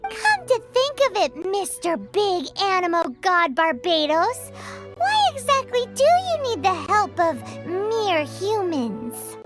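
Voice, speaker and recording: A woman voicing a tiny, sassy fairy companion in a video game, with a squeaky childlike voice and blunt, excitable manner. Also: slow pace, music in the background, full character voice. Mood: cheeky, nosy. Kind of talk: video game character acting.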